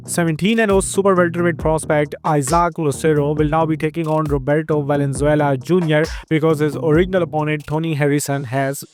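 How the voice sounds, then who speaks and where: A man with an Indian English accent hosting a fight news show, briskly listing upcoming bouts in a scripted rundown. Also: on the high side, darkish tone. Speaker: host of a fight news channel.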